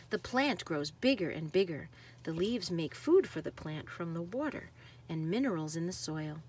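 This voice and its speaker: A woman's voice: mid-range voice, slight background noise. A woman reading a nonfiction picture book aloud to children like a teacher, stressing key words.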